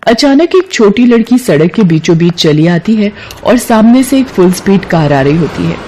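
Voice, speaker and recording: A woman's voice: medium pitch, expressive, moderate pace, dark tone. A woman narrating a scripted moral story as a storyteller.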